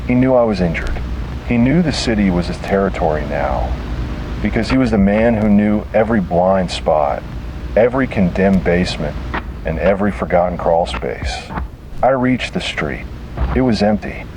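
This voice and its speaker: A man reads a scripted first-person horror story dramatically, in short deliberate phrases. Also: low voice, expressive, background noise.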